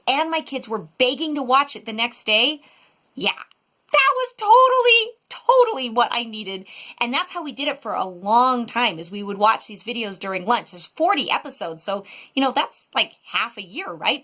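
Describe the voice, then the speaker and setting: A woman's voice: on the high side, bright, forceful tone. A middle-aged American woman giving a casual, chatty video review with an enthusiastic, emphatic delivery. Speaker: homeschool mom reviewing educational resources.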